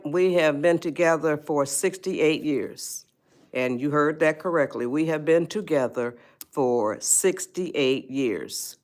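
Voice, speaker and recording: Slow-talking woman in her eighties, folksy livestream host who calls her viewers y'all. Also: sits low. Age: 80s. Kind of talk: casual livestream talk.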